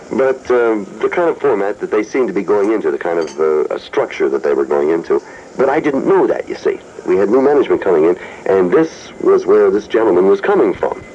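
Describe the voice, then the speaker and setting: A man's voice: low voice, mellow, slight background noise. Male radio host, casual and conversational, recorded on dull aircheck tape. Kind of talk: casual talk to listeners on air. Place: radio studio.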